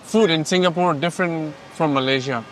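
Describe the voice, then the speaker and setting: A man asks passers-by questions on a city street, his voice a touch high and easygoing. He keeps probing with short follow-ups, with faint street noise under him. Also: a little fast, a bit bright. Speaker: street interviewer.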